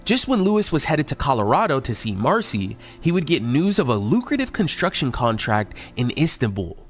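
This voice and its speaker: Lively male documentary narrator. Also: medium pitch, brisk, soft background music.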